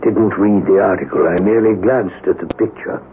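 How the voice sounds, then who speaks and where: An actor playing a terse, deferential male household servant in a 1970s radio drama, with a gravelly voice and clipped delivery. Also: on the low side, fairly quick, lightly acted. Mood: deferential, reserved. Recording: tinny vintage radio recording, background noise.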